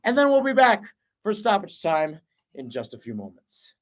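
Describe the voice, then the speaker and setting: A man giving live football play-by-play commentary, weighing tactics and substitutions at length in an energetic, analytical way, his voice just recovered after losing it. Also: high voice, moderate pace. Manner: energetic, analytical, breaks between halves.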